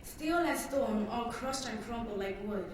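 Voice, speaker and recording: A teenage girl gives a dramatic narration from literature in a fairly high voice. She is far from the microphone, and the hall echoes heavily. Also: expressive, measured pace. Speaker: student narrator. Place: public reading in a hall. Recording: very echoey hall, distant mic, faint noise.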